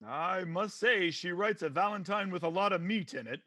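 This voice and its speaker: An actor playing a pompous, preachy judge in an old-time radio comedy, with theatrical delivery. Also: male voice, sits high, normal speed, bright, forceful tone, no echo, clean studio sound. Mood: pompous, wry. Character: pompous male judge presiding over comic domestic court.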